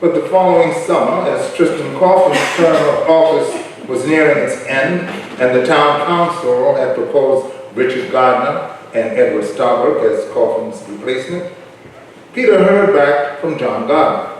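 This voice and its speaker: A man's voice: mid-range voice, a little slow, natural voice. A man reading scripted historical narration in a staged reading. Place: staged reading in a reverberant venue. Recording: echoey room from far off, noisy.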